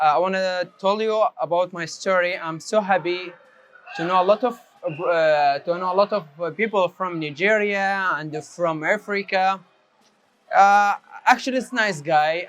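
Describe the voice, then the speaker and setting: A man's voice: high-pitched, fairly bright tone. An earnest man from Iraq, speaking simple as he tells his story. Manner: simple non-native English, earnest. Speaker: interviewee. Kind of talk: personal testimony.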